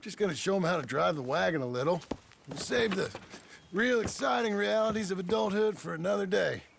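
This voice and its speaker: An actor playing a gruff, weary American outlaw turned ranch hand, speaking tersely in plain rural words with a gravelly voice in a game drama. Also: male voice, sits high, animated, brisk, noisy background. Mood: wry. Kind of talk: naturalistic video game drama acting.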